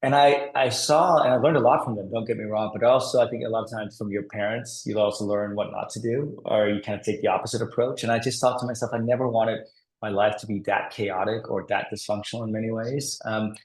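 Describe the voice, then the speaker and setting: A man in his forties from Denmark, a guest on a podcast interview, telling his story in an upbeat, quick way with many restarts. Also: on the low side, fairly even intonation, reverberant, rough recording. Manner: fast, upbeat storytelling with frequent restarts and laughs. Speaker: podcast guest, marketing executive. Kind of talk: casual interview talk.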